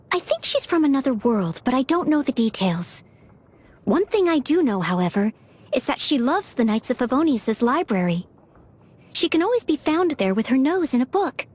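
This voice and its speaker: A woman voicing an earnest, polite teenage maid and aspiring knight in a video game, eager to please. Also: high voice. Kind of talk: video game character voice lines. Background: background music.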